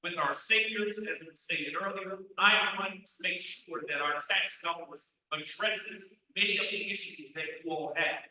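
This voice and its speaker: A man running for county office closes a formal, measured campaign speech. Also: high-pitched, a crowd in the background. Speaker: county commission candidate. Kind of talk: campaign speech at a candidate forum. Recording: echoey hall, distant mic.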